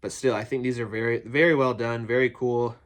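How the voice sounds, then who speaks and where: A man's voice: medium pitch, steady tone, a little fast. A man with a cold, sounding nasal and a bit phlegmy, giving a rambling, eager unboxing review of action figures from his toy building. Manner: rambling and chatty, many restarts, eager.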